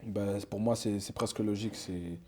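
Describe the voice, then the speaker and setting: A man's voice: lowish voice, fairly even intonation, moderate pace, a little mellow, breathy edge. A young man, a rapper talking casually in an interview, loose and slangy with lots of fillers and restarts. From Switzerland. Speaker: rapper guest.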